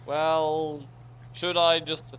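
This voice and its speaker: A man's voice: on the high side, flat delivery, drawn-out words, a bit bright, highs cut off. A man talking casually as a co-reader in a group read-aloud. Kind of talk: casual chat. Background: music.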